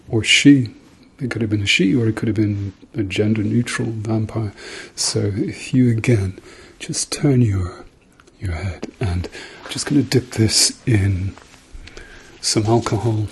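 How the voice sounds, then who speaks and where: A man doing a soft-spoken, often whispered ASMR roleplay as a gentle, reassuring doctor with a dryly playful manner. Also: mid-range voice, gravelly, slight background noise. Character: calm, reassuring male doctor treating a patient.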